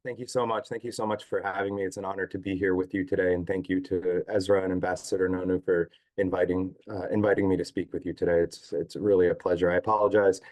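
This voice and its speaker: Hoarse man, low flat voice. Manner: halting, many uh fillers. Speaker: guest speaker, advocacy group staff chief. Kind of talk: online talk. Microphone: video call.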